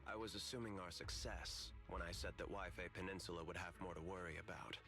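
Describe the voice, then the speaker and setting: An actor playing a shrewd, calculating company man in a video game, speaking in a smooth, formal, measured and faintly theatrical way. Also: low-pitched, steady tone, music in the background, natural voice. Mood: cool, dismissive. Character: shrewd, calculating corporate man, politely self-serving.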